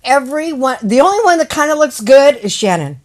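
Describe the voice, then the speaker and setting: A woman's voice: high voice, sing-song, a little fast. A woman in her seventies chatting casually as a guest, blunt and dismissive, often restarting her sentences. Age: 70s. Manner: blunt, dismissive, stumbles over words. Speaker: guest, co-host's mother. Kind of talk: casual chat.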